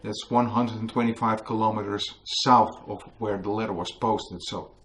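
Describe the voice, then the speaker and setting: A Dutch-accented man speaks in a lowish voice, recounting a story to a gathered audience in a museum. He fills his pauses with hesitations and restarts while reaching for English words. A faint background hiss sits under the recording. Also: steady tone, fairly bright tone. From Netherlands. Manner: hesitant, searching for English words, many fillers. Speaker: author giving a book talk. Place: museum hall with a live audience.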